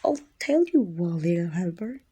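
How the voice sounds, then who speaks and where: A woman playing a dominant, haughty evil queen with a soft spot, musing imperiously in an ASMR roleplay. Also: lowish voice, animated, normal speed. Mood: relieved, grudgingly fond. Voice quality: husky, breathy with whispered edges. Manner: imperious, musing, teasing condescension. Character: dominant, haughty evil queen softening toward her little helper.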